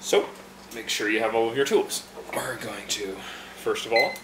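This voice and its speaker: A man working behind a tea bar casually explains how to brew a green tea, talking in a relaxed way with fillers and restarts. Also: lowish voice, normal speed, echoey room, noisy background. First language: English. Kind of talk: casual instructional demonstration.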